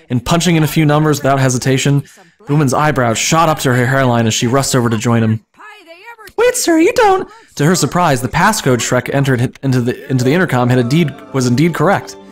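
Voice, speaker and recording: A streamer giving an informal, amused dramatic reading of comic fan fiction, stumbling over the text now and then. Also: medium pitch. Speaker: streamer and story reader.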